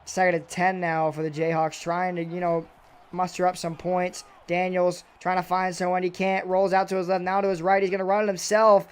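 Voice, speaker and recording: A young man doing play-by-play commentary on a video game football match, excitable and a little raspy, his voice jumping on big plays. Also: a little fast. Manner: excitable, voice jumps high and loud on big plays.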